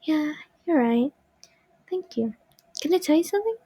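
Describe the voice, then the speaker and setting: A young woman voicing a shy, gentle animatronic puppet girl with a soft, childlike voice, timid and pleading when frightened. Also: sits high, lively intonation, moderate pace, no room sound, pitched above the performer's own voice. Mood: sad.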